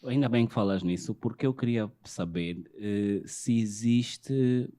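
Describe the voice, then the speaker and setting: A man's voice: on the low side, a little slow, dark tone. A man hosting a casual interview, hesitant and repeating words as he starts each thought.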